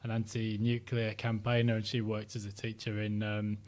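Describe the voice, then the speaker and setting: A middle-aged man from Sheffield with a softened northern English accent, talking as an interview guest, reflective and full of ums and restarts. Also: on the low side, monotone, moderate pace. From Sheffield, South Yorkshire, England. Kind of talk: casual interview chat.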